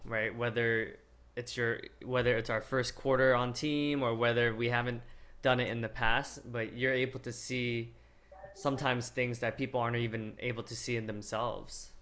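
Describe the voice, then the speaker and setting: A man interviewing a teammate in a casual conversation, speaking softly and affirmingly, often restarting his sentences. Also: mid-range voice, level tone, normal speed.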